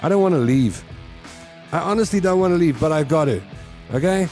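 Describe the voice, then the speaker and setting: A man's voice: a little high, expressive, a little fast, darkish tone, soft background music. A South African man in his fifties hosting a live show, talking casually and earnestly to his listeners in a reflective, conversational way. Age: 50s.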